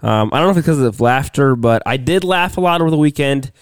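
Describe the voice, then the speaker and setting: Guy rambling through a story on a podcast, throat's sore from being sick, smooth clear voice, dry sound. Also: mid-range voice, lively intonation, fast, no echo. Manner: rambling storyteller, many restarts and fillers. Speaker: podcast co-host. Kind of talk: casual chat.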